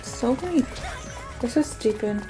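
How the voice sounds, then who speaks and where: A woman's voice: fairly high pitch, lively intonation, unhurried, low-quality recording. A woman co-hosting a game playthrough, chatting casually in a giggly, teasing way. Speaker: co-host, gamer. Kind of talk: casual let's-play commentary. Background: game music.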